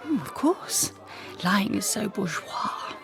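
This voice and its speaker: An actress playing a flirtatious, bored upper-class wife in a period radio drama, teasing and sardonic. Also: female voice, mid-range voice, very animated, takes it slowly, creaky, exaggerated voice. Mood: playful, mocking. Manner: arch, teasing. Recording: music behind the voice.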